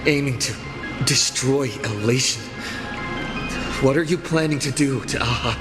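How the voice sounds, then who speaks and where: A man voicing an earnest young hero in a video game, speaking in a measured, serious, probing way. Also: medium pitch, in a large hall, music in the background, natural voice. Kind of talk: video game character acting.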